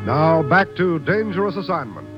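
Radio announcer man over loud music. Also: on the high side, very animated, moderate pace, lo-fi sound. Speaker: programme announcer.

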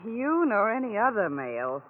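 A woman's voice: mid-range voice, very animated, normal speed. An actress playing a shrewd, controlling wealthy woman, frank and dryly knowing, in a 1940s radio drama. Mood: firm, frank. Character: shrewd, plain-spoken, controlling wealthy bride-to-be. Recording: tinny vintage radio recording.

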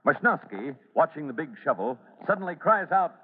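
A male radio announcer narrates a vintage serial with emphatic drama. His pitch swings widely from phrase to phrase. The recording is thin and tinny, as from an old radio. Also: big pitch swings, moderate pace.

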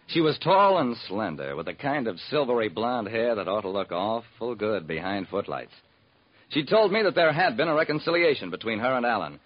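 A man's voice: mid-range voice, husky. An actor playing a wry, hard-boiled private detective, narrating his case in clipped old-time radio style. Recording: muffled vintage radio recording.

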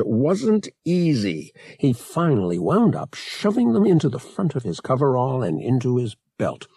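Guy narrating an audiobook, dramatic fiction read, lots of pitch movement, raspy, dry sound. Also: dark tone, dry recording.

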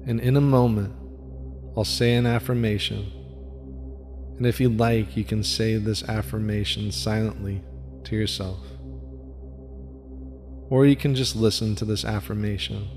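A man leading a guided meditation in a soft, soothing voice with a slow, repetitive cadence. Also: on the low side, steady tone. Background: faint music with gong.

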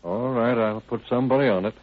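A man playing a brisk, matter-of-fact county sheriff in a 1930s radio drama. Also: medium pitch, lightly acted. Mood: businesslike. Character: businesslike male county sheriff running his office. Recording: tinny, narrow old radio recording.

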